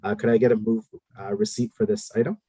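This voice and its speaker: A man chairing a formal board committee meeting, leading a prayer and calling items in a measured, procedural way with frequent fillers. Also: mid-range voice, sing-song, creaky, dark tone, no room sound.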